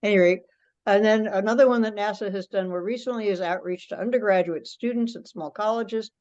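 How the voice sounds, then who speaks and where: A woman's voice: mid-range voice, fairly quick, darkish tone. A woman giving an explanatory, conversational presentation over a teleconference. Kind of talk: informal spoken presentation on a teleconference. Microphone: teleconference call. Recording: dull lo-fi conference call audio.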